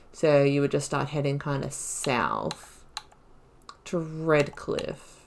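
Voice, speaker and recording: A woman giving casual, rambling and reflective game commentary as she thinks aloud through a playthrough. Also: low voice. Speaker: gaming video host.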